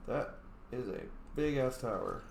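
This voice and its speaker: Young man, let's play commentary, sweary, excitable, lively pitch, roomy echo, faint hiss. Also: unhurried, creaky. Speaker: gamer roleplaying his character. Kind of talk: casual let's play commentary with in-character lines.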